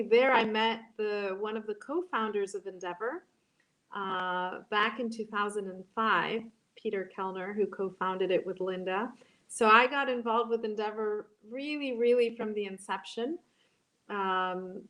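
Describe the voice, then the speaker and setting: Halting woman moderating a panel. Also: medium pitch, fairly bright tone, poor audio. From Brazil. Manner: halting, many ums and uhs, restarts. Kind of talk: online panel discussion.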